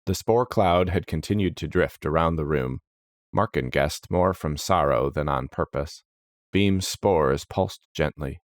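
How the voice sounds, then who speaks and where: A man's voice: very deep voice, a little slow, no echo, studio quality. A man reading scripted fantasy story narration.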